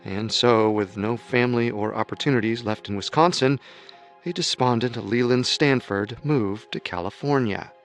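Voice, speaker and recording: A man narrating a true-crime radio drama in a steady, storytelling manner. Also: medium pitch, moderate pace, soft background music. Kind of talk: scripted documentary narration.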